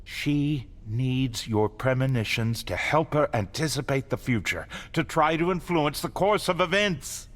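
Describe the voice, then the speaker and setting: A man's voice: mid-range voice, unhurried, few pauses, a bit bright, lightly acted. A man playing a grave, controlling vampire caretaker in a dramatic game cutscene, explaining things with solemn insistence. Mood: persuasive, firm. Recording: faint music behind the voice.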